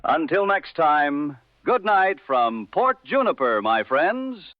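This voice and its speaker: A man playing a genial, courtly 1960s radio host, speaking formally with measured, dry humour. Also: on the high side, sing-song, no room sound, narrow, tinny audio. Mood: genial, wry. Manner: measured, formal, dryly humorous. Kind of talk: 1960s radio broadcast acting. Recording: dry, band-limited vintage radio sound.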